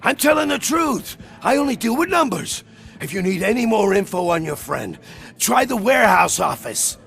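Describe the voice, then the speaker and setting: An actor playing a frightened, cowardly accountant in a video game, nervous, pleading and excitable. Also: male voice, high-pitched, normal speed, vocal fry, soft background music, heavily acted. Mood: anxious, cooperative. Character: cowardly, frightened male accountant for a criminal gang.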